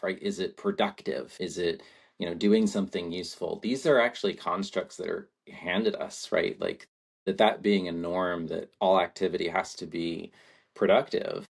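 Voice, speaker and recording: A man in his forties, a podcast guest who grew up in Latvia, talking in a thoughtful, rambling way and often checking in with a tag question. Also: sits low. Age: 40s. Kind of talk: reflective conversation.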